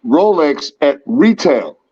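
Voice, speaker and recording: A teasing call-in guest, a man who presses his point insistently and keeps repeating the host's name. His voice is a bit higher than most men's. It comes through dull and muffled, like lo-fi call audio. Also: very animated, takes it slowly, darkish tone, slightly rough. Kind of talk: casual livestream chat.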